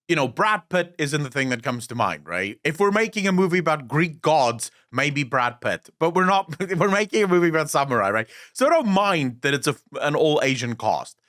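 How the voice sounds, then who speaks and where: A man's voice: on the high side, big pitch swings, brisk, a bit bright. A man whose first language is Afrikaans, co-hosting a gaming podcast in casual, blunt, profane chat. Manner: blunt, profane, opinionated ranting. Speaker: podcast co-host.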